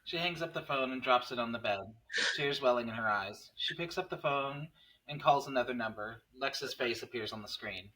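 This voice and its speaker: A man's voice: mid-range voice, normal speed, bright, forceful tone, poor audio. A man reading screenplay stage directions as narrator at a table read.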